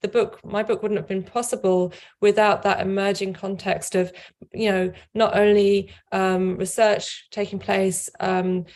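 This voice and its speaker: A woman's voice: mid-range voice, little pitch movement, measured pace, a little mellow. A middle-aged woman speaking on a video call from a home office, thoughtful, repeating words as she gathers her ideas. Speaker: author and scholar. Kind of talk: casual panel talk.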